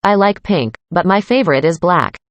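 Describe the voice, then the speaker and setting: A woman reading a student's part in a scripted classroom conversation, calm and plain. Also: fairly low pitch, normal speed. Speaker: student in a dialogue.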